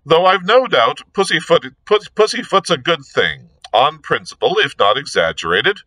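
A man acts out a cheeky aristocrat detective for an audiobook. He is breezy and flippant, teasing as he chats, with a bright, forward tone and a lifted pitch in a dry, close recording. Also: big pitch swings, normal speed, no room sound, heavily acted. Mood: playful, teasing. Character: blithe, cheeky aristocratic gentleman sleuth, teasing and chatty.

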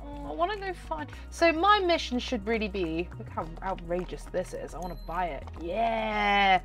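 Hoarse woman chatting over gameplay. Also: medium pitch, animated, normal speed, soft background music. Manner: chatty, talks to the game and viewers. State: hoarse from a cold. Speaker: gaming channel host. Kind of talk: casual game commentary. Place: home room, indoors. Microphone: desk microphone on stand.

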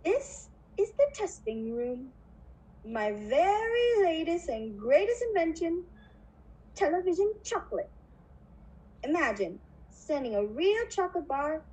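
A woman voicing an eccentric, chirpy male factory owner in a puppet show, theatrical and animated. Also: high-pitched, unhurried, low-quality recording. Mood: showy.

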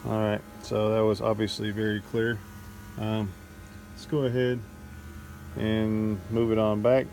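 A man with a fairly low, gravelly voice talks casually through a gear test, pausing to think aloud. His delivery stays very even, and faint outdoor noise sits behind him on a phone recording. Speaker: vlogger testing drone gear. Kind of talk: casual unscripted narration of a field test. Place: outdoors at night in a neighborhood. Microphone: handheld cell phone. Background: outdoor ambience and passing vehicle noise.

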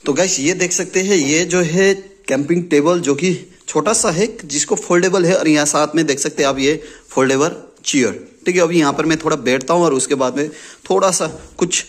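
A man's voice: on the high side, normal speed, dry recording. Chatty man reviewing gear to camera. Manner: chatty, many fillers and restarts, addresses viewers as guys. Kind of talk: casual product unboxing vlog. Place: indoors at home, later on an open rooftop outdoors.

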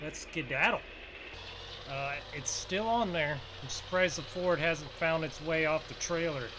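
Slow-talking man over loud engine noise. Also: fairly high pitch. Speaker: gaming video host. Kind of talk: casual roleplay gameplay commentary. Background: game truck engine sounds.

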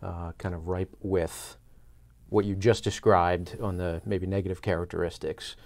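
A man in his thirties speaks in a fairly low voice as a podcast host. His questions are conversational and a little tentative as he searches for the right words. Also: little pitch movement, a little slow, gravelly, a little mellow. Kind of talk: conversational interviewing. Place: small indoor podcast studio.

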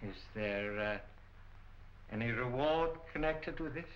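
A man's voice: mid-range voice, animated, lightly acted. A man in his sixties playing a mild, hesitant witness in a 1940s film. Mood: mild, polite. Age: 60s. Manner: hesitant, with many pauses. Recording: dull, noisy 1940 film soundtrack.

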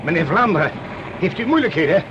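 A courteous, halting male doctor in a radio drama. His voice is a little high with lively intonation. There is faint noise on the old recording. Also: dull audio. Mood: polite, insistent. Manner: formal, halting. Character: polite, hesitant male doctor. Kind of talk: Dutch radio play acting.

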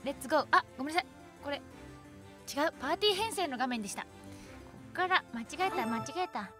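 A woman with a very high, lively voice hosts a casual gameplay chat. She gets flustered and repeats words in quick bursts. Game music plays clearly behind her. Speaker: radio show host playing a mobile game.

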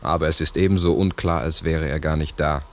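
Casting: low-voiced analytical man, formal and deliberate, 1960s radio play. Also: fairly even intonation, a little hiss or hum. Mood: puzzled reasoning. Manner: deliberate, reasoning aloud. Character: sceptical, analytical male investigator, methodical and formal. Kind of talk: 1960s radio drama acting.

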